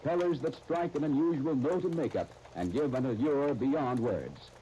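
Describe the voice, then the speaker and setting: A male 1930s radio announcer with a mellow, expressive voice. He reads scripted copy with polished drama and deliberate stresses. The old broadcast disc carries faint crackle. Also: mid-range voice. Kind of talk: 1930s radio scripted narration and sponsor reading.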